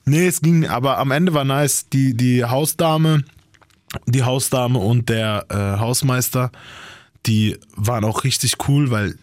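Man, casual chat, rambling, slangy, middle pitch, dry close sound. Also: a little slow, no room sound. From Germany. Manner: slangy, rambling, says "Bro" often. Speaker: rapper.

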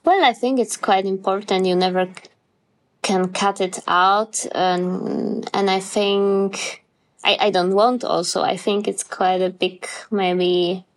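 A woman's voice: mid-range voice, steady tone, takes it slowly. A young woman from Poland with a Polish accent, a musician answering interview questions in English with many fillers and restarts. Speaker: musician guest.

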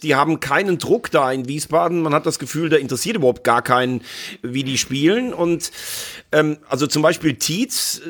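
A male pundit talks through a team's chances. His voice is slightly high. He sounds animated and confident in his picks. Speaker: football pundit. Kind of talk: casual football talk.

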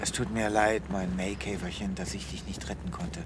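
An actor playing a melodramatic, self-pitying animal doctor in an audio drama, speaking theatrically. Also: male voice, on the low side, flat delivery, moderate pace, background noise, close to an everyday voice. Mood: despairing. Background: music.

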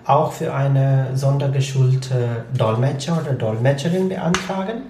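A man's voice: mid-range voice, unhurried, background noise. A man from Pakistan, a Punjabi speaker, talking as an interview guest. He explains at length, with many hesitations and fillers. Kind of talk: conversational interview. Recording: echoey room.

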